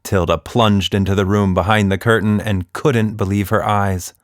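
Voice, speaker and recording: A man's voice: fairly low pitch, steady tone, normal speed, no echo. A man narrating a children's story expressively as a podcast host, recorded close to the mic.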